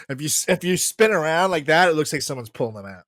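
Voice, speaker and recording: A man's voice: a little high, expressive, quick pace, fairly bright tone, no echo, studio quality. A man co-hosting a podcast in casual chat, joking and laughing often as he hypes the show. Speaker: podcast co-host.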